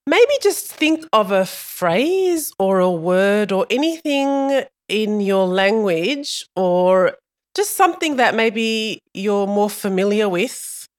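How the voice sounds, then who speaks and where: A woman's voice: medium pitch, clear, resonant tone. A woman hosting a podcast interview, asking friendly, encouraging questions. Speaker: podcast host.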